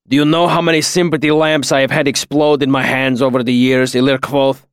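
A huge, shaggy master artificer speaks with stern gravity as a male narrator plays him. His phrasing is slow, formal and exacting, like a patient but demanding mentor in a fantasy audiobook. The sound is dry, with very little room. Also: mid-range voice, flat delivery, clean tone, dry recording, natural voice. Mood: grave. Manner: slow, grave, formal, oddly ordered phrasing. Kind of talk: audiobook character dialogue.

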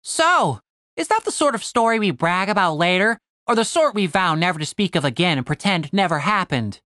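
A woman's voice: fairly low pitch, animated, a little fast, a bit bright, no echo, studio quality, voice pushed up. A woman voicing a sarcastic, exasperated male halfling adventurer in a fantasy audiobook, crude, whiny and argumentative. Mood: testing, sly.

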